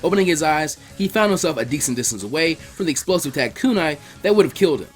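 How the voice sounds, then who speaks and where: A man narrating fan fiction aloud in an expressive, scripted storytelling style. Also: a little high, fast talker, husky, faint music behind.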